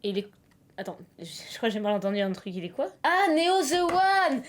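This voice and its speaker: A woman's voice: a little high, very animated, a little fast. A woman in casual, joking chat with a slangy, giggly manner. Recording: music in the background.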